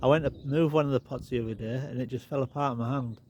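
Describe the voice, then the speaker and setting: A man's voice: medium pitch, fairly even intonation, quick pace, darkish tone, a little hiss or hum. Chatty bunged-up man in a garden. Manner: chatty, rambling, wry asides. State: a bit bunged up. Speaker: garden vlogger. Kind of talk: casual walk-and-talk garden tour. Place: outdoors in a garden. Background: birds and animals.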